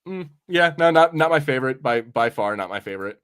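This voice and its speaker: A man's voice: mid-range voice, expressive, a little fast, a bit bright, clear, resonant tone. A man hosting a podcast, chatting casually in a rambling, informal, sometimes sweary way about what he has watched. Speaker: podcast host. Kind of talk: casual review chat.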